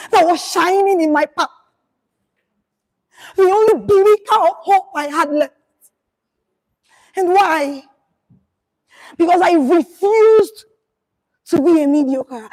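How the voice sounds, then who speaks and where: A woman's voice: very high-pitched, low-quality recording. A woman playing Favour, a grieving vengeful lawyer. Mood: defiant grief. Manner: impassioned, shouting through tears, defiant. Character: grieving vengeful woman lawyer whose baby was killed. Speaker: monologue performer. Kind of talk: dramatic monologue.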